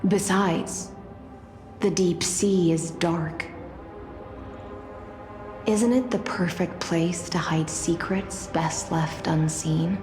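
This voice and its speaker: Echoey game voice, aloof lady knight from the deep sea, takes her time, formal n dry. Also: steady tone. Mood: cool, wry. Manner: measured, formal, coolly wry. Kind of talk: video game voice acting. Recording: echoey voice over background music.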